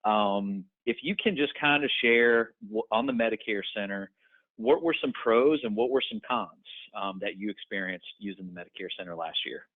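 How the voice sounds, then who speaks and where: A man with a fairly low voice hosts a business call. He talks informally and encouragingly, and the audio has the narrow, tinny sound of a phone line. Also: lively intonation, moderate pace. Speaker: host of agent conference call.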